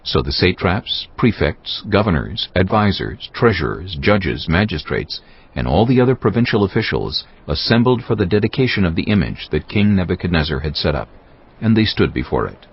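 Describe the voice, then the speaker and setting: A man's voice: low voice, very animated, moderate pace, slightly rough. A man narrating a dramatized scripture reading as a scripted storyteller. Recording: dull band-limited audio, faint music.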